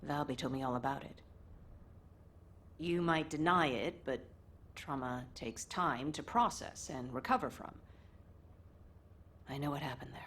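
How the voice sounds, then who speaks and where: A woman playing a firm, caring former military captain in a video game, earnest and gently insistent. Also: a bass voice, unhurried, long pauses, a little hiss or hum. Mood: concerned. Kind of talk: dramatic video game voice acting.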